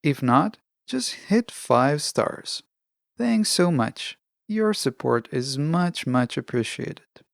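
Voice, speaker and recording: A podcast host delivering a scripted self-help monologue in a measured, instructional way with deliberate phrasing. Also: medium pitch, very animated, gravelly, no echo, studio quality.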